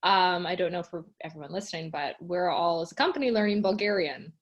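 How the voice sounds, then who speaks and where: A woman's voice: lowish voice, fast, highs cut off. A woman co-hosting a casual panel discussion, chatty and friendly as she explains.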